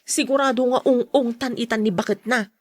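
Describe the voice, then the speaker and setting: A woman's voice: fairly high pitch, steady tone, fairly quick, darkish tone, no echo, studio quality, natural voice. A woman playing a plain-spoken wife in an Ilocano audio drama, with an Ilocano accent. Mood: wary.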